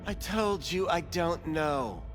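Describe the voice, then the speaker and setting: A man's voice: a little high, animated, slow pace, music in the background. An actor playing a callous, pathetic back-alley doctor in a video game, prissy and defensive, stammering as he is questioned. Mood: defensive, flustered. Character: shifty, callous, pathetic back-alley implant doctor, whining under interrogation. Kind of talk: dramatic video game acting.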